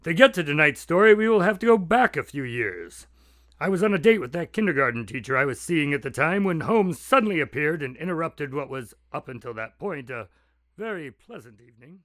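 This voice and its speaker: A man's voice: on the high side, very animated, fairly quick, a bit bright, no echo, studio quality. An actor playing a polite doctor who narrates and grows exasperated with his friend in a radio drama.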